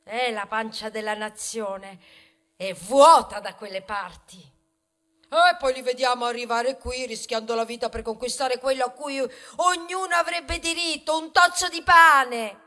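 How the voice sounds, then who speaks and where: A woman's voice: on the high side, a little slow, pushed and bright. An actress playing a proud, aggrieved male grain of wheat in a satirical stage monologue, theatrical and plaintive, swelling into declamation and confiding in asides. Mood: pleading, reproachful. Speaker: stage actor performing a monologue. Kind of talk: theatrical satirical monologue, rhetorical, with bursts of song.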